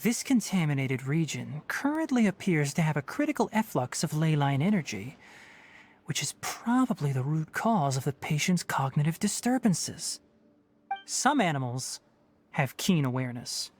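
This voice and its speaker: A man's voice: on the high side, sing-song, normal speed, slight background noise. A man voicing a young fox-eared forest ranger and scholar in a video game, precise and a little curt, explaining things in a clipped, matter-of-fact, lecturing way. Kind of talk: video game cutscene dialogue.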